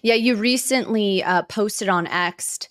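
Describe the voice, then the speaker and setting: A woman's voice: medium pitch, slow pace, no echo. A woman hosting a sports podcast, chatting casually about football in an informal, upbeat manner.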